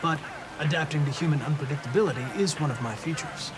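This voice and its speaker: A man's voice: on the high side, quick pace, background noise, natural voice. A man playing a polite young android detective in a video game, speaking formally and precisely in an earnest, analytical way. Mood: earnest, composed.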